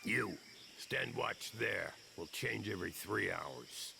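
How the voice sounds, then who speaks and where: An actor voicing a stern, wary orc squad leader who gives curt orders in a video game cutscene. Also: male voice, fairly low pitch, animated, slow pace, creaky, background noise, full character voice. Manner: curt, commanding. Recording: loud outdoor night ambience with insects.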